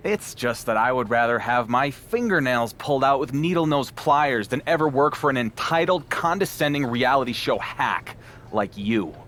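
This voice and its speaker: A man's voice: medium pitch, expressive, moderate pace, fairly bright tone, slight background noise, lightly acted. A man playing a wry, earnest underdog wrestler in a video game, talking in a casual, understated, dryly sarcastic way. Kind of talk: scripted video game cutscene acting.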